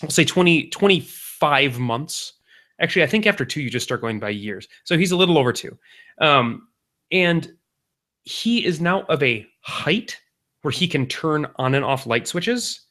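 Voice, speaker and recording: A man in his thirties talks through a story on a casual podcast. His voice is bright and forceful. He wanders and jokes, with plenty of ums along the way. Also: fairly high pitch, animated, normal speed. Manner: rambling, jokey storytelling with many fillers. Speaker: podcast co-host.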